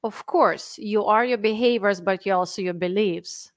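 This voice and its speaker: A woman in her thirties with a Russian accent talks earnestly in a podcast interview, laying out her ideas step by step with the odd grammar slip of a second language. Her voice sits at a middle pitch, and the sound is dry and close, with no room around it. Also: moderate pace, no echo. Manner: earnest, explains in steps, slips in grammar. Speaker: health and fitness coach guest. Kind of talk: conversational interview.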